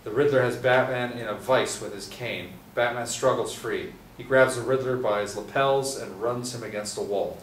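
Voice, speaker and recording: A man reading a screenplay's scene directions aloud at a table read, steady and measured. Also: mid-range voice. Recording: echoey room from far off with faint noise.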